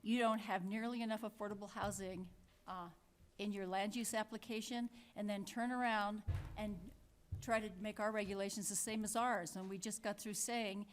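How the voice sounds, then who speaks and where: A woman's voice: medium pitch, steady tone, moderate pace, slight background noise. A woman chairing a town council meeting, speaking plainly and thinking aloud with frequent restarts.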